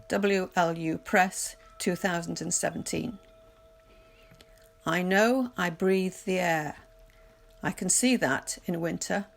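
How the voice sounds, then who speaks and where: A woman reading poetry aloud in a measured, literary recitation, deliberately pausing phrase by phrase. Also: fairly low pitch, gravelly. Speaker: poetry reader. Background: music.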